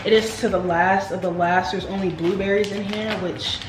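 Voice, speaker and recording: A young woman chats straight to her viewers in a casual, playful way. Her voice bounces off the walls of a kitchen. Household noise runs clearly underneath. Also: medium pitch, steady tone, moderate pace, reverberant. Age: young adult. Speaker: vlogger. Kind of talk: casual vlog chat to camera. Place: home kitchen, indoors. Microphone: camera on the counter.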